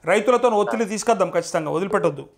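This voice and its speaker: A man's voice: high voice, rapid-fire, gravelly, slight background noise. A man with a Telangana accent speaking as a journalist in a casual phone conversation. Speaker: journalist taking a farmer's call. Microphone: telephone. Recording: phone call audio.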